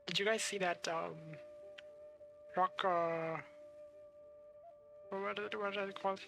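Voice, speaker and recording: A woman's voice: mid-range voice, steady tone, slow pace, vocal fry, over music. A woman doing casual live gameplay commentary, thinking aloud and muttering off the cuff. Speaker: game streamer.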